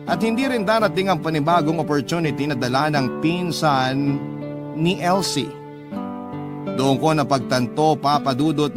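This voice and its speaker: A man narrating a letter as a radio love-story storyteller, in a measured, sentimental, dramatic way. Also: on the high side.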